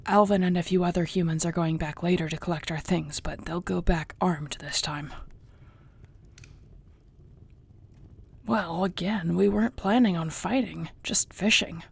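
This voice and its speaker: Woman doing soft husky orc gf, bit of fry in the voice, tender warrior type, audio roleplay. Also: lowish voice. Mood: calm, reassuring. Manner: soft, tender, unhurried, often near a whisper. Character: affectionate orc girlfriend, seasoned warrior, gentle and content. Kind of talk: intimate audio roleplay, spoken to the listener.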